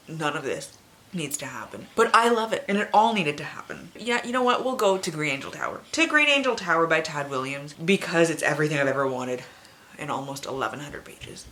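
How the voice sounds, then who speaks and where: A woman's voice: fairly low pitch, fairly bright tone. A woman hosting a casual book vlog, talking informally and emphatically with plenty of swearing. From Canada. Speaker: book reviewer, video host.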